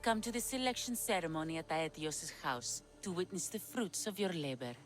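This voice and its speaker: A woman playing a sly witch in a video game, with a deliberate, theatrical, coaxing delivery. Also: fairly low pitch, expressive, faint music behind, natural voice. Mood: assured, scheming. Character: sly witch of Hecate peddling potions, slow, sultry. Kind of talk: dramatic video game acting.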